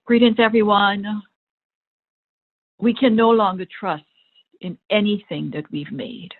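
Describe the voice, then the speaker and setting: A woman meditation coach giving a spiritual talk in deliberate short phrases, with long pauses between them. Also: medium pitch. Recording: thin phone-line sound.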